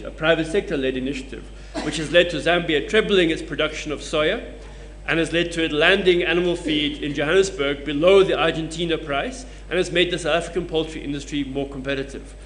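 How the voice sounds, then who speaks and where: A man's voice: on the high side, a little fast, a bit bright, slight background noise. A man on a conference panel, explaining his points through case studies. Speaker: panelist presenting research.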